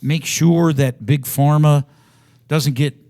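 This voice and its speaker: A man's voice: on the high side, steady tone, takes it slowly, mellow. A man co-hosting a podcast in casual chat, with a folksy, bantering way of talking. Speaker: podcast co-host.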